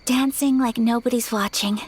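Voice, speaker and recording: A woman playing a gentle, refined young noblewoman in an anime video game, soft-voiced, polite and tender. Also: on the high side, steady tone, breathy edge. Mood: bashful, tender. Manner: polite, tender, measured, hesitant. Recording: music behind the voice.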